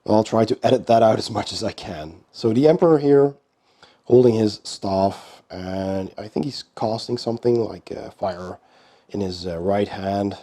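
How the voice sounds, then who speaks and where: A hoarse man with a cold hosts an unboxing in a casual, unhurried way. He talks in a low-key manner with many pauses. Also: mellow. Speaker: board game channel host.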